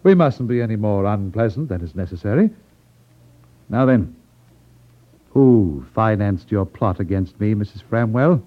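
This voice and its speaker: An actor playing a suave, cold-blooded crime boss with genteel, condescending politeness in a 1950s radio drama. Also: male voice, lowish voice, expressive, unhurried, long pauses. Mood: polite menace. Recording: muffled vintage 1950s radio recording.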